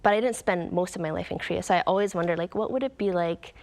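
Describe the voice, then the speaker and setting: A young woman from Canada answers interview questions in a reflective, earnest way. Her voice sits a little low, and she often pauses on "um" and "like" while she thinks. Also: gravelly, darkish tone. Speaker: author guest.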